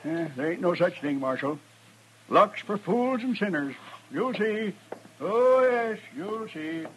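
High-voiced old frontier rancher. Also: male voice, lively intonation, slow pace, fairly bright tone, exaggerated voice, low-quality recording. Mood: bitter, boastful. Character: old dreamy bitter frontier rancher, male. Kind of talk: 1950s Western radio drama acting. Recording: hissy old radio recording.

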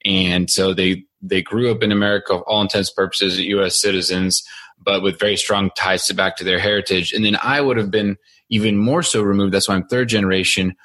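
A man's voice: low-pitched, steady tone, fairly quick, fairly bright tone, dry recording. A man in his thirties who grew up in Ukraine, a company CEO telling his story in a casual podcast interview, chatty with many fillers and restarts.

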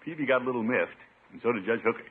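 A man's voice: mid-range voice, animated, very fast, breathy edge. A man playing a pompous, thrifty middle-aged uncle in a 1950s radio sitcom, blustering and easily flustered, with nervous chuckles. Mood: self-important. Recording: dull, muffled 1950s radio recording.

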